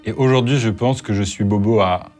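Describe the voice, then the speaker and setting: A man's voice: lowish voice, brisk, darkish tone, soft background music. A man hosting his video channel, answering questions in a candid, hesitant way and sounding a bit nervous. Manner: hesitant, candid, many fillers and restarts. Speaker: youtuber host. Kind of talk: casual talk, answering interview questions.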